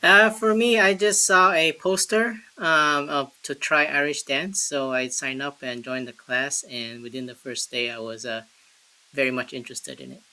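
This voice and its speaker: A man's voice: mid-range voice, fairly even intonation, moderate pace, sharp tone, clean tone, poor audio. A man, giving short, matter-of-fact answers in an interview over a video call. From Singapore. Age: 40s. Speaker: interview guest, dancer.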